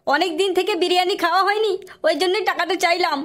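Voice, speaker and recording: A performer voicing a whiny, foul-mouthed young son in a comedy cartoon, with an artificially pitched-up voice. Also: fast talker, a bit bright. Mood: whiny, pleading. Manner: whiny, quarrelsome, swearing. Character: aggrieved, foul-mouthed young son pestering parents for money. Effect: artificially pitched-up cartoon voice filter.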